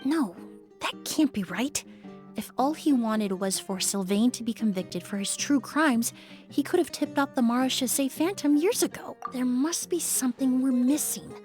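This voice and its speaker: A woman's voice: high voice, normal speed, a little mellow, soft background music, natural voice. An actress playing a composed, analytical young woman investigator in a video game, earnest and articulate. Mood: pondering. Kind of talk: video game character acting.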